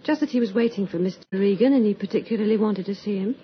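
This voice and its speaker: A timid young maid acted by a woman in a vintage radio play. She is polite and hesitant, at a medium pitch on a muffled recording. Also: fast, slight background noise, natural voice. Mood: timid, respectful. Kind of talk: vintage radio drama acting.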